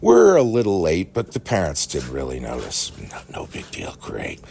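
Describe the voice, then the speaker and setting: Very deep, gravelly man telling stories. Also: slight background noise. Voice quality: gravelly, husky. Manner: halting, folksy, animated storyteller. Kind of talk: rambling personal storytelling, reminiscing.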